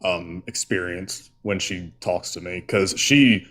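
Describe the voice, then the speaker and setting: A man's voice: sits low, takes it slowly, fairly bright tone, slight background noise. A man co-hosting a casual live stream, rambling with many fillers and restarts. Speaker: co-host.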